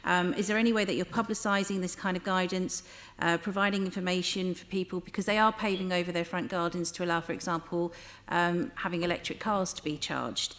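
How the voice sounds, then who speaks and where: A woman's voice: on the low side, fairly even intonation, a little fast, a bit bright. A woman chairing a committee, asking questions hesitantly with many fillers.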